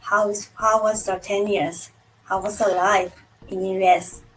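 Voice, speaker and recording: A woman hosts an interview over a video call. She asks her questions slowly, carefully and politely, at a middling pitch. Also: little pitch movement, soft background music, low-quality recording. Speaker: interview host. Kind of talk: casual interview chat.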